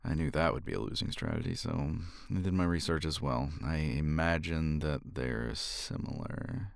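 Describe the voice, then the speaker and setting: A man's voice: a bass voice, moderate pace, creaky, smooth, dry recording, clean studio sound. A man playing a cocky, weary champion competitor in an intimate romance ASMR roleplay, flirtatious and teasing, with languid sighs and murmured asides. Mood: curious, conversational.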